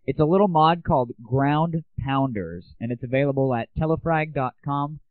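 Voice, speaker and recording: A man's voice: fairly high pitch, normal speed, no echo. A young man hosting a comic internet audio show with a sarcastic, cocky delivery. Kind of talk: scripted comic monologue. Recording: tinny, band-limited lo-fi audio.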